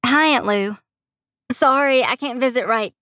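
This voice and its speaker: A young female nurse from an audiobook, played by a woman narrator, caring and steely under tiredness. Her pitch lifts above a low narrating register, and the sound is dry and close. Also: unhurried, a bit bright, no echo. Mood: alarmed.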